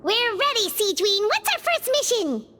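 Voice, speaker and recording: A woman voicing an excitable, outspoken little fairy-like companion girl in a game. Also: very high voice, moderate pace, heavy background noise. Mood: chirpy. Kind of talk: game voice acting.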